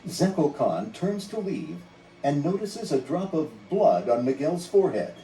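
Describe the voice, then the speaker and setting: A man narrating a scripted storybook read-along in a measured, dramatic storytelling manner. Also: on the high side, sing-song, mellow, roomy sound, distant mic, slight background noise, rough recording.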